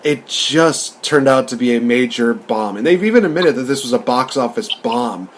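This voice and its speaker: A man's voice: medium pitch, expressive, moderate pace. A man co-hosting a podcast, talking casually and rambling as he acts out remembered remarks in a review discussion. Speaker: podcast co-host. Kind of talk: casual movie review chat.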